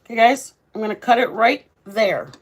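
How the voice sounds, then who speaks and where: Chatty lady showing a recipe in her kitchen, kinda high voice, real casual, talks to the viewers like pals. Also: animated, normal speed, slightly rough. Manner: chatty, informal, addresses viewers as guys. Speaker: home cooking vlog host. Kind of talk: casual cooking demonstration to camera. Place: home kitchen.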